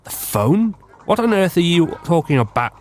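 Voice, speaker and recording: An actor playing a pompous, dim-witted, excitable detective in a blustering manner for a comic radio drama. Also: male voice, on the high side, normal speed, a little hiss or hum. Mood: indignant. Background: music.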